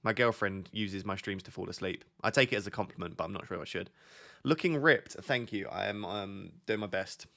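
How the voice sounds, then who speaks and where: A man's voice: deep voice, brisk, vocal fry, pushed and bright. A young man hosting a casual live stream, chatting and reacting to viewers' messages in a self-deprecating way. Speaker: streamer reading live chat.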